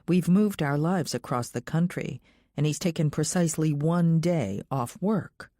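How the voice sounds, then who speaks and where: A woman's voice: low-pitched, unhurried, mellow, no room sound, clean studio sound. A woman reading a scripted first-person thriller audiobook as its narrator.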